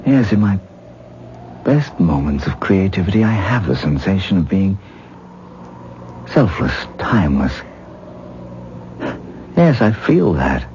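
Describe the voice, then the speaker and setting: A man's voice: low-pitched, big pitch swings, slow, long pauses, darkish tone, heavily acted. A male actor playing an obsessive, self-absorbed stage-actor husband in a 1970s radio drama, with a rapturous, theatrical, musing manner. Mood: rapt, soft. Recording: muffled, hissy 1970s radio recording.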